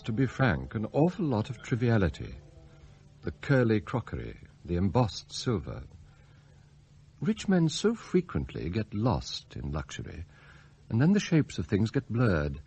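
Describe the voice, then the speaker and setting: Eloquent scheming English butler, wildly expressive. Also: male voice, lowish voice, breathy edge, highs cut off, exaggerated voice. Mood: wistful, disdainful. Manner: theatrical, articulate, formal, given to rhetorical flourishes. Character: eloquent, scheming male butler, sardonic and idealistic. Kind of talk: radio drama acting.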